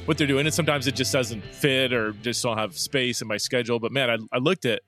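A man's voice: mid-range voice, a bit bright, faint music behind. A man hosting a podcast, chatting casually in an upbeat, loose way. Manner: quick, upbeat, loose phrasing. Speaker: podcast host.

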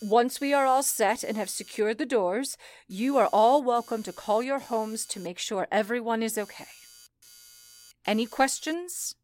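A woman playing a calm, commanding telephone switchboard supervisor in an old-time radio play, with a deliberate, authoritative way of speaking. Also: a little high, close to an everyday voice. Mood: firm, organizing. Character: calm, commanding female telephone operator supervisor in wartime-like crisis.

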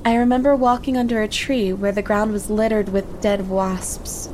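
A woman's voice: medium pitch, steady tone, normal speed, noisy background, lightly acted. A woman playing a young hiker reading her trail journal in horror audio fiction, wry, blunt and rattled, in a conversational, sweary way. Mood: frightened. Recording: music bed under the voice.

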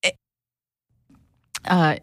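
An actress playing a hesitant, deferential researcher who explains findings in a halting way in an audio drama. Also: female voice, no echo, studio quality. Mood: flustered, deferential.

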